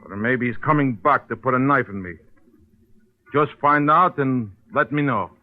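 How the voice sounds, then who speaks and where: An actor playing a heavyset, hot-tempered dockside boss in a vintage radio crime drama, with blunt, clipped, broken-English phrasing. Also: male voice, mid-range voice, normal speed, close to an everyday voice. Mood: demanding. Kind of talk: 1940s-50s radio crime drama acting. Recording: dull vintage radio recording.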